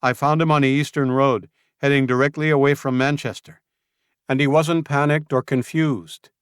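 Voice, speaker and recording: A man's voice: medium pitch, fairly even intonation, normal speed, clear, resonant tone, dry recording, studio quality, pitched above the performer's own voice, lightly acted. A man voicing a tough male fighter who bluntly accuses a prisoner. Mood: accusing.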